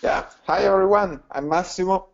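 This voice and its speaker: A man's voice: sits high, normal speed, gravelly. Italian-accented man, frank, on dull call audio. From Italy. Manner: informal, frank, plain-spoken. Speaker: guest presenter. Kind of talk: casual webinar presentation.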